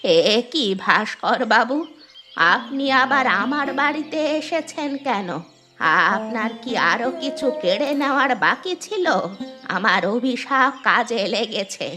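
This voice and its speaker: Cartoon role: elderly poor village woman, played by an actress, forceful bright tone, music underneath. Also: a little high, lively intonation, unhurried. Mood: bitter, accusing. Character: poor old village woman, bitter but forgiving. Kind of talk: Bengali moral cartoon dubbing.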